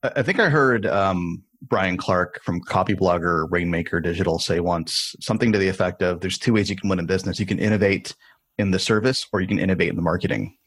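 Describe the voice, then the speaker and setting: A man with a lowish, husky voice hosts an interview. He sums up what his guest said and builds long, searching questions around it. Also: fairly quick, fairly bright tone. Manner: thoughtful, restates guest's points, rambling questions. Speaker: podcast host.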